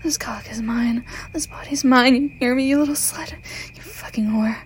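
A woman's voice: on the high side, moderate pace, a little hiss or hum, close to an everyday voice. A woman playing a sadistic, possessive killer in explicit erotic audio roleplay, whispering breathily as she taunts and commands her captive lover. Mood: possessive, aroused.

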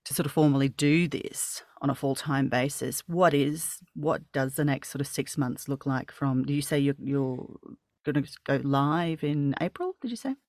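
A man's voice: medium pitch, moderate pace, no echo, studio quality. A man with an Australian accent hosting a casual interview, asking rambling, hesitant questions full of fillers. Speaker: podcast host, audio creator.

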